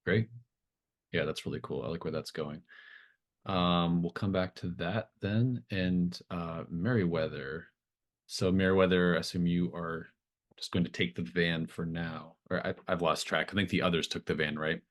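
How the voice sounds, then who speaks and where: Male game master, very low voice, improvised and conversational tabletop delivery, supportive explainer. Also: fairly even intonation, normal speed. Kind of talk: running a tabletop roleplaying game, casual and improvised.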